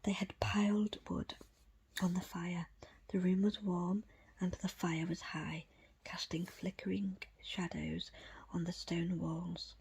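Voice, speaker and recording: Breathy whispering woman reading a book. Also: on the low side. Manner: hushed, deliberate phrasing in short pieces. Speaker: audiobook reader.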